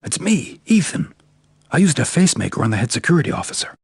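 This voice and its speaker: A man playing a steady, protective secret agent hero in a video game. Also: mid-range voice, fast talker, breathy edge, no echo, clean studio sound, faint music behind, heavily acted. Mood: grave. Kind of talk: video game cutscene acting.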